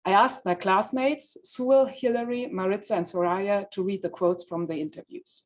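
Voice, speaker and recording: Female presenter, native German speaker with German accent, medium pitch, prepared talk. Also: steady tone, normal speed. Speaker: journalist presenting a research project.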